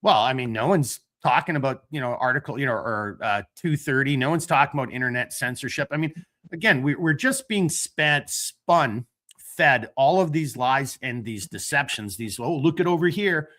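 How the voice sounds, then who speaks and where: Bright-voiced man hosting a rambling chat. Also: medium pitch, moderate pace. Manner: rambling, animated, tells dad jokes. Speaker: podcast host. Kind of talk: casual late-night chat.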